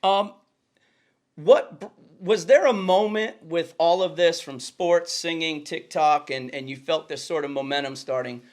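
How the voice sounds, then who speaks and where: A man's voice: fairly high pitch, a little slow. A man hosting a casual interview, asking questions with enthusiasm and complimenting his guest. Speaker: podcast host.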